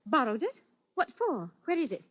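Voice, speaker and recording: An actress playing a sharp, suspicious wife, insistent and theatrical in 1940s radio drama style. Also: female voice, high voice, big pitch swings, moderate pace. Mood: exasperated.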